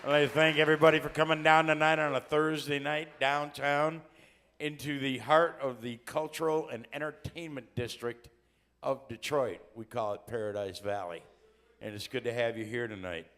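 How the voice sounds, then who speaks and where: A man's voice: mid-range voice, steady tone, normal speed, fairly bright tone. A man hosting a live talk show, welcoming guests with upbeat, joking announcer patter. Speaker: host.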